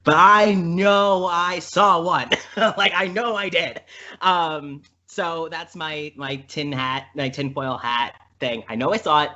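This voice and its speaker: A man's voice: on the high side, steady tone, slow pace, bright, forceful tone. A man from the American Midwest, a podcast guest telling stories casually in an earnest, emphatic way that repeats phrases. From Midwestern United States. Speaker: podcast guest, audio drama creator. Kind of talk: casual anecdotal storytelling. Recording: dull lo-fi audio.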